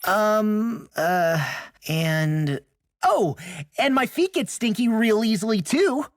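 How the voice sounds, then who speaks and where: A man's voice: high voice, lively intonation, slow, dry recording, heavily acted. A scrappy, kindhearted teenage boy in a video game. His voice swings up and down as he talks in a casual, awkward, hesitant way, recorded close and dry. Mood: awkward reassurance. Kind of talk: dramatic video game acting.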